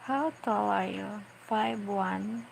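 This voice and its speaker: A woman in her forties with a Filipino accent, chatting casually on a livestream as she reads viewer comments aloud and answers them in a playful, unhurried way. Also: medium pitch, gravelly, slight background noise, poor audio. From Philippines. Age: 40s. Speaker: vlogger on a late-night livestream.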